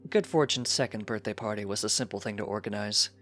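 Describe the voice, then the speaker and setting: A man's voice: lowish voice, quick pace, a bit bright. Male storybook narrator over music. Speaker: fan fiction reader.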